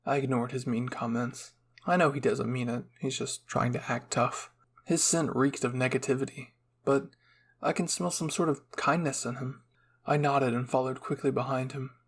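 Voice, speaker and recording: A man's voice: medium pitch, steady tone, moderate pace, husky. A man reading scripted first-person narration as a storyteller.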